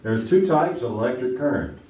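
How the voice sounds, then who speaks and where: A man's voice: mid-range voice, animated, fairly quick, warm tone, close to an everyday voice. A man playing a folksy, wisecracking host of a 1950s radio game show on stage in a theater. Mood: genial. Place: live stage performance in a small theater. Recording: roomy, distant theater recording with heavy noise.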